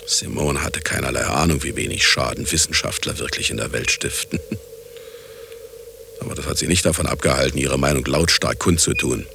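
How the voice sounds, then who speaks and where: Very deep, gravelly, sardonic scientist. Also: male voice, normal speed, noisy background. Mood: sardonic, brooding. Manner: sardonic, condescending, lecturing. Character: cynical, self-justifying male scientist and husband. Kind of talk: radio play acting, monologue and dialogue.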